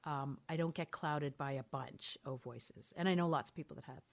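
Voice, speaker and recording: A woman's voice: sits low, moderate pace, gravelly, background noise. A middle-aged woman, a mother of three, talking candidly and thoughtfully as an interview guest, often restarting her sentences. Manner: candid, thoughtful, frequent self-corrections and laughs. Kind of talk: reflective conversational interview.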